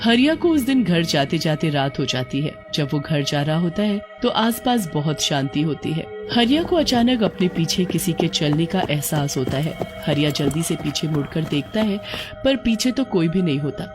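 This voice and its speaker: A woman's voice: low voice, expressive, normal speed, over music. A woman storyteller reading a scripted tale as narrator.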